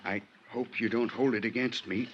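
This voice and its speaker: An actor playing a frightened, working-class American sailor with a halting folksy way of talking, in a 1950s radio drama. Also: male voice, medium pitch, fairly even intonation, lightly acted. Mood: uneasy. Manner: nervous, halting, folksy dialect. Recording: muffled, faintly noisy old radio recording.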